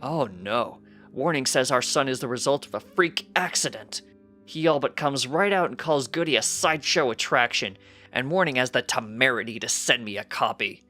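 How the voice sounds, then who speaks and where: A man voicing an excitable, protective father pony in a storybook, with a blustery, emphatic manner. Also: medium pitch, normal speed, faint music behind, pitched above the performer's own voice, natural voice. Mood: outraged.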